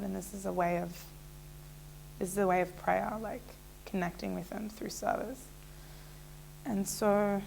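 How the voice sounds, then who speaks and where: A young woman in her twenties tells her own story, talking casually with plenty of "um"s and restarts. Her voice sits at a middle pitch with a gravelly, fry-tinged edge. A faint hum runs under the recording. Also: rough recording. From Australia. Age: 20s. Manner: chatty, many fillers and restarts. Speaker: interviewee.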